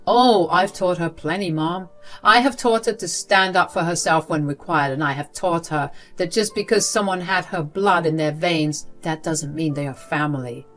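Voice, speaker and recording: A woman's voice: fairly low pitch, normal speed, a bit bright, soft background music, lightly acted. A woman voicing a firm, cold middle-aged man. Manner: firm, cutting.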